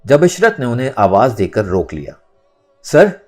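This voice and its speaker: Expressive low-voiced male storyteller. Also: moderate pace, faint music behind. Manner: expressive, acts out dialogue. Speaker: audiobook narrator. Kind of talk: dramatised novel narration.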